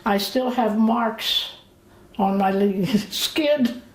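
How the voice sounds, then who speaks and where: A woman in her late eighties being interviewed about her memories. She talks haltingly, with many fillers and pauses. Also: mid-range voice. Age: late 80s. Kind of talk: slow, reflective memories. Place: indoors. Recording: roomy echo.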